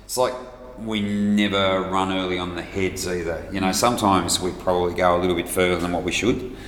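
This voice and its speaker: A man's voice: low-pitched, fairly even intonation, a little fast, a bit bright. A man with an Australian accent chatting in a loose, blokey, joking way as an interview guest. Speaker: distillery brand ambassador, guest. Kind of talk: loose, jokey casual chat. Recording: echoey room, faint background noise.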